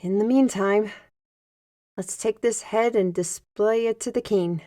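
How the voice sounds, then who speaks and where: A commanding woman leading adventurers played by an actress in a fantasy audio drama. She speaks slowly and steadily in a mid-range voice, recorded close and dry. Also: medium pitch, warm tone, dry recording, lightly acted. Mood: solemn, encouraging.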